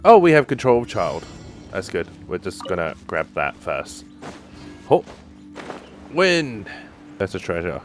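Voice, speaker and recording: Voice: deep voice, sing-song, unhurried, pauses often. A gamer giving casual let's play commentary, muttering asides and short reactions while playing. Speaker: gaming streamer playing and commenting on a video game. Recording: game music behind the voice. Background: video game music and dialogue.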